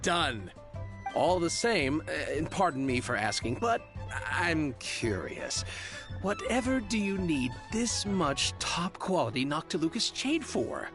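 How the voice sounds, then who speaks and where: A courteous, slow-spoken shopkeeper. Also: male voice, fairly high pitch, big pitch swings, music in the background, exaggerated voice. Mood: friendly, curious. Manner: courteous, hesitant. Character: polite, curious, hesitant shopkeeper. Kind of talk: video game voice acting.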